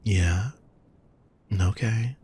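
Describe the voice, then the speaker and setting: A man playing a gentle, protective demon lover in an intimate roleplay audio, soft, husky and reassuring, speaking straight to the listener. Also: fairly low pitch, full character voice. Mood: soothing, tender. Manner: soft, reassuring, unhurried coaxing. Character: gentle, teasing, protective male demon lover at a fantasy brothel.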